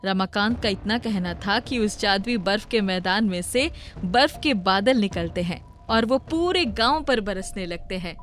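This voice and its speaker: A woman's voice: medium pitch, animated, normal speed. A woman reading scripted moral-story narration as the storyteller. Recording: music behind the voice.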